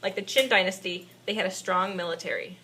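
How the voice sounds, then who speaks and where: A woman's voice: medium pitch, brisk, pushed and bright. A woman playing a patient puppet history teacher, delivering a scripted lesson to an unruly class in an amateur show. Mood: instructive. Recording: faint background noise, amateur recording.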